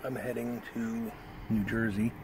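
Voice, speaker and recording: A man's voice: lowish voice, unhurried. A man in his fifties chatting casually to camera while driving, rambling with lots of fillers. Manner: rambling, relaxed, frequent fillers. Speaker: vlogger. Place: inside a car, driving. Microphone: camera on the dashboard. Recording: loud car and road noise.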